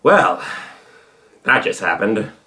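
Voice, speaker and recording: A man's voice: on the low side, sing-song, slow pace, bright, forceful tone. A man giving casual reaction commentary as the host of a video.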